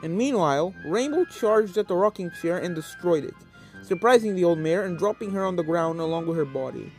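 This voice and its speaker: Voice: medium pitch, animated, a little fast, a little mellow. A narrator reads a scripted story aloud. Music plays behind the voice throughout the fan fiction reading.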